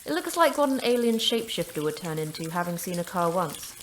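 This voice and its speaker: A woman playing a terse, dry-witted station manager in an audio drama, with deadpan humour. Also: lowish voice, brisk, noisy background. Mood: dry, wry. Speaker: voice actor in a fiction podcast.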